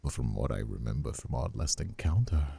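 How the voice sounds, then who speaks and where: A man playing a menacing, smug mobster brother in an audio roleplay, gravelly and husky, with mock-polite, drawn-out taunts. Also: extremely low pitch, lively intonation, mellow, no echo, heavily acted. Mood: taunting. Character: menacing, taunting mobster brother, escaped convict and crew leader. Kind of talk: scripted audio roleplay acting, ASMR.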